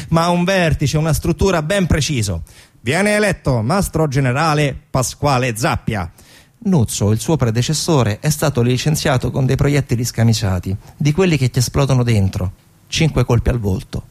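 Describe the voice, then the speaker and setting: A man delivering dramatic theatrical narration as a stage storyteller, deliberate, with emphatic pauses. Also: medium pitch.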